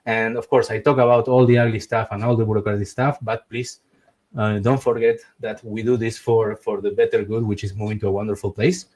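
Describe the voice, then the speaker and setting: A man's voice: on the low side, level tone, a little fast, a little mellow. A middle-aged man from Argentina with an Argentine Spanish accent, hosting a webinar and giving its closing remarks earnestly, repeating words for emphasis. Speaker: webinar host and relocation advisor.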